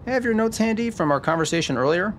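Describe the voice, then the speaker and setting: A man playing a genial, sharp tax expert in a scripted noir radio drama, upbeat and explanatory. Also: high voice, animated, fast, slight background noise. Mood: cheerful. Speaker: podcast host acting in a radio drama.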